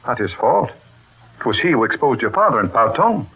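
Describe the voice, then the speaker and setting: An actor playing a stern, decisive army major in an old radio drama, grim and authoritative in short, clipped phrases. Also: male voice, mid-range voice, very animated, a little fast, gravelly, husky, heavily acted. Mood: hard, stern. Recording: tinny, band-limited old radio recording.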